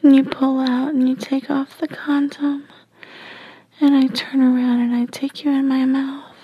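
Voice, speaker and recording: A woman narrating intimate, sensual first-person erotic audio for adults in a hushed, breathy whisper. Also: high voice, no room sound, rough recording. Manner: hushed, slow, sensual delivery.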